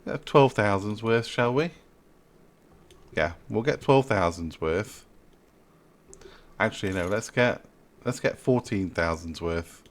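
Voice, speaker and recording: A slightly low man with a stuffy nose. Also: slow, pauses often. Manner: chatty, thinking aloud with many ums. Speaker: farming sim video maker. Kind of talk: casual gameplay commentary.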